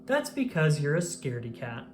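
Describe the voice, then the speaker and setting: A brisk, bossy female storybook character, acted out by a read-aloud narrator. She teases and instructs with emphasis and an animated, rising and falling pitch. There is some noise in the background. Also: close to an everyday voice. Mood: teasing. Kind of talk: children's picture book read aloud.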